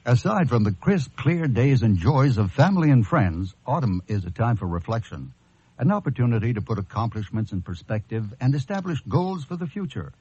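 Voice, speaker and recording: A man's voice: mid-range voice, sing-song, normal speed, a little mellow, husky, dry recording. A man reading a sober, scripted public service announcement in an earnest, polished manner. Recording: dull, dry radio recording.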